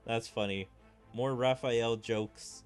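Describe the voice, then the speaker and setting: A man's voice: medium pitch, fairly even intonation, very slow, clean tone, soft background music. A man streaming a game, reading its dialogue aloud in a theatrical way and adding his own commentary. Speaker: gaming streamer.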